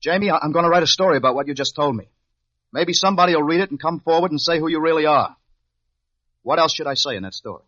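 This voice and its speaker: An actor playing an earnest, insistent newspaper reporter in a radio drama, urgent and coaxing. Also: male voice, fairly high pitch, fast, a bit bright, dry recording. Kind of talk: 1950s radio drama acting. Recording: dull lo-fi old radio recording.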